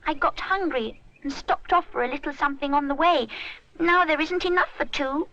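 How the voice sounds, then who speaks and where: A woman's voice: high-pitched, moderate pace, sharp tone, lo-fi sound, slight background noise, pitched above the performer's own voice. A woman voicing a greedy, amiable young boy pig in a children's story, childlike and a little plaintive. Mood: regretful.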